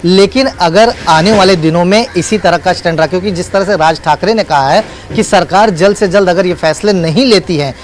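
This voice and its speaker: A man's voice: high-pitched, fairly quick, hardly any pauses, slight background noise. A man reporting live as a news correspondent, speaking unscripted in emphatic, repetitive phrases with hesitant fillers.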